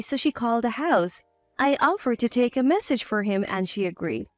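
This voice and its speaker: A woman's voice: on the high side, no room sound. A woman reading a scripted first-person story as its narrator.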